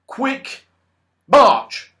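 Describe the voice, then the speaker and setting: A man voicing a commanding wizard in a children's storybook, speaking with slow, deliberate authority. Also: bright, forceful tone. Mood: brisk, commanding. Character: astonished, commanding male wizard of great authority.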